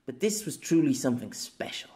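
A man reading scripted fiction as an amateur audiobook narrator, expressive and dramatic. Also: mid-range voice, a little fast.

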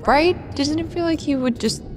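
A woman's voice: high-pitched, normal speed. A woman chatting casually as a player in a tabletop game. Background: soft background music.

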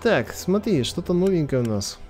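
A man's voice: a little high, very animated, normal speed, darkish tone. A man doing casual game commentary, thinking aloud and reading on-screen text, with game music behind him. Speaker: gaming video host. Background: video game music.

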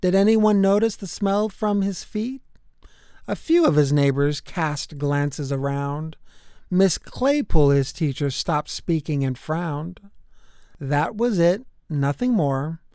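A woman's voice: low voice, expressive, slow, mellow, no echo, clean studio sound. A woman narrating a rhyming children's storybook expressively, in a way that is easy to follow. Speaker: children's book reader.

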